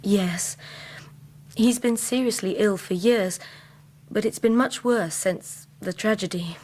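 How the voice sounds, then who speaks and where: An actress with an English accent playing a devoted, self-possessed young gentlewoman in a radio drama, earnest, polite and guarded. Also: mid-range voice, slow. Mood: sad, earnest. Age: young adult.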